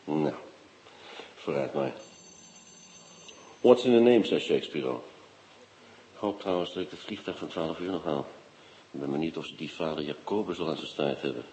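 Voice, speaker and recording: Low, reverberant muttering man. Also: normal speed, pauses often, dark tone, slight background noise. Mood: wry, impatient. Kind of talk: radio drama acting. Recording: long hollow reverb.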